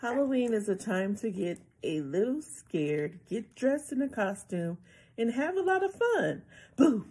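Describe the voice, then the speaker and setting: A middle-aged woman reading a children's picture book aloud in a deliberate way, like a librarian at story time. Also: on the high side, sing-song, darkish tone, breathy edge. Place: small indoor room with bookshelves.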